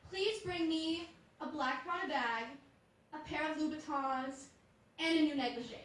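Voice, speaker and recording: A woman plays a polite wife of thirty-nine with expensive tastes. The voice is very high, far from the mic in an echoey theatre, in live radio comedy. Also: normal speed, fairly bright tone, a little hiss or hum. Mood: sweet, pointed. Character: polite thirty-nine-year-old wife wanting luxuries. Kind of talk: live stage radio comedy, reading a letter.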